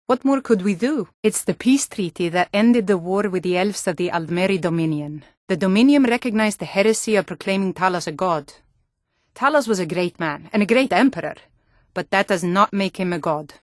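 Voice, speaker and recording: Actress as Nord warrior woman, lowish voice, earnest and proud, deliberate fantasy game dialogue, dry sound. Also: dry recording, close to an everyday voice. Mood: kindly, then measured. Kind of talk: scripted video game character dialogue.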